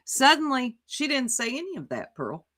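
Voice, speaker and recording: Lively, mocking woman. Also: high-pitched, normal speed, no room sound, studio quality. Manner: mocking, teasing, animated. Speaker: commentary host. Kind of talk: recap commentary on a livestream clip.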